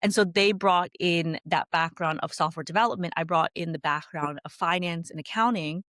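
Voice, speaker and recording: A woman from California, a company founder, chatting in a video-call interview and telling her story in a lively, animated way. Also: lowish voice, normal speed, no room sound, studio quality.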